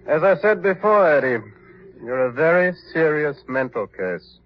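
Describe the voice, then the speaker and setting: An actor playing a treacherous asylum doctor in an old radio crime drama, pompous and coolly clinical. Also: male voice, on the high side, big pitch swings. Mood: smug, clinical. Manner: pompous, measured clinical mockery. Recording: dull lo-fi old radio recording.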